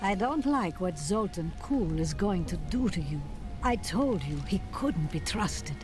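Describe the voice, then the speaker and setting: Game seer: earnest, guarded, lowish voice, music behind. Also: female voice, lively intonation, unhurried. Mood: worried. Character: guarded, regretful female seer who knows the future. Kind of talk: video game acting.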